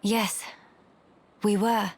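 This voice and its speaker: A woman's voice: medium pitch, flat delivery, drawn-out words, husky, heavily acted. Poised, formal young noblewoman. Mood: earnest, grave. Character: dignified, earnest young noblewoman, composed and formal. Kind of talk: video game voice acting.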